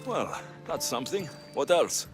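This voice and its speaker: An actor playing a protective young mercenary hero in a video game, who turns menacing when angered. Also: male voice, a little high, big pitch swings, unhurried, over music. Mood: calm, conspiratorial. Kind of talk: dramatic video game acting.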